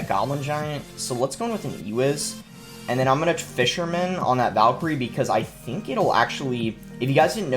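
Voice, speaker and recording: Young male streamer, mid-pitched, congested from a cold, easygoing play-by-play over soft game music. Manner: relaxed, explanatory play-by-play with many fillers. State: sore throat and stuffy nose from a cold. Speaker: gaming streamer. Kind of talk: live gameplay commentary. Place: indoor room at a gaming desk.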